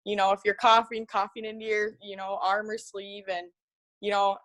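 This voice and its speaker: A woman's voice: mid-range voice, flat delivery, moderate pace, a bit bright, no echo. Young American woman chatting casually. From Minnesota, United States. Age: around 20. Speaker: guest, college tennis player. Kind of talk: casual chat.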